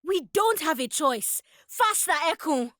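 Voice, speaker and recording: A woman voicing a brave, caring young girl heroine in an animated adventure, earnest and urgent. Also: high voice, a little slow, no echo, clean studio sound. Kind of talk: animated series table read.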